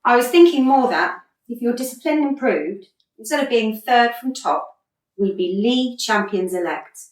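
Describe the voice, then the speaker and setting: Radio comedy: exasperated but patient female football coach played by a woman, articulate, swooping pitch, echoey room. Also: expressive, moderate pace, lightly acted. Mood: dry, reproving. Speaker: actor in a radio play. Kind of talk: radio comedy drama acting. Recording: roomy echo, distant from mic.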